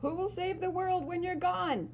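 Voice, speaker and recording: A woman's voice: extremely high pitch, normal speed, a little mellow, a little hiss or hum, voice pushed up. A woman voicing eager, concerned news reporters in a storybook, asking urgently. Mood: urgent, curious. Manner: urgent, questioning.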